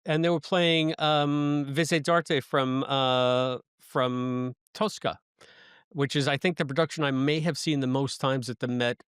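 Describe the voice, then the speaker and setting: A man's voice: mid-range voice, level tone, unhurried, a bit bright, smooth, dry recording. Man co-hosting a podcast, close dry sound. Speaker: podcast co-host. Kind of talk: casual podcast chat.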